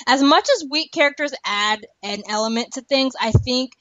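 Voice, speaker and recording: A woman's voice: sits high, normal speed, a bit bright, no room sound. A woman hosting a casual podcast discussion, chatty and giggly as she rambles through her opinions. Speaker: podcast host. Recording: dull lo-fi podcast audio.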